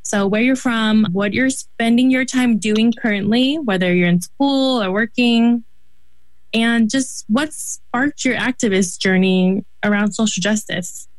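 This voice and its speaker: An upbeat woman hosts a radio talk show in an encouraging, friendly way. Her voice sits at an ordinary pitch for a woman. The sound is close and dry, with almost no room around it. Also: unhurried, no room sound, rough recording. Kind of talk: hosting a group conversation.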